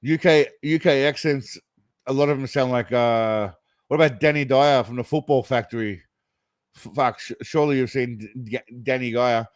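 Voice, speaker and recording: A man's voice: medium pitch, moderate pace, no room sound. A man hosting a reaction show, delivering crude, sarcastic commentary full of profanity and mockery. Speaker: host reacting to clips.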